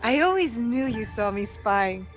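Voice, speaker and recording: A young woman warrior of feudal Japan in a game cutscene. Her voice sits on the high side, earnest and resolute with grief underneath. Music plays softly behind her. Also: lively intonation, unhurried. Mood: eager. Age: young adult. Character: young female shinobi, resolute, grieving, set on vengeance. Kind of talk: dramatic video game cutscene acting.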